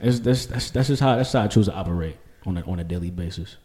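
A man's voice: deep voice, quick pace, mellow. Laid-back slangy New York man. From Queens, New York. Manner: laid-back, slangy, repeats fillers like "you know". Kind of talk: casual group chat.

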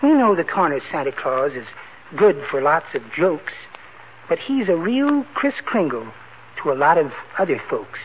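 A man's voice: on the high side, sing-song, normal speed. An actor playing a timid, sweet, henpecked little married man with prissy, quaint manners in a 1940s radio comedy. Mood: gently earnest. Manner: meek, prissy, quaint exclamations. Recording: noisy, narrow-band 1940s radio recording.

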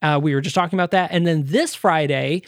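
Upbeat guy doing podcast plugs, kinda high voice, super dry sound, casual and chatty. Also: fast, dry recording. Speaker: podcast co-host. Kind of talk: casual promotional podcast chat.

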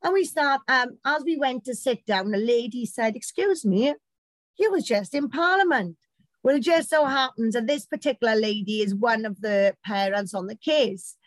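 A British woman with a loud voice, a campaign organiser telling stories in casual chat. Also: a little high, lively intonation, normal speed, no echo. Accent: British English. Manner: loud, chatty storytelling.

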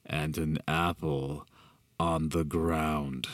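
A man voicing an unhinged, sinister man who speaks in a slow, drawn-out, mocking way. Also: fairly low pitch, big pitch swings, dry recording, voice pushed up, heavily acted. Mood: menacing. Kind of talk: horror story narration.

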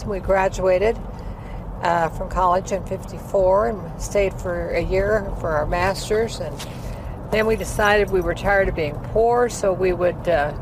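A woman in her eighties, a former opera singer, recalling her career in a rambling, filler-laden way during a casual interview. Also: medium pitch. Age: 80s. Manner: rambling storytelling with many fillers. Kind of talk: casual life-story interview. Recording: heavy traffic noise behind.

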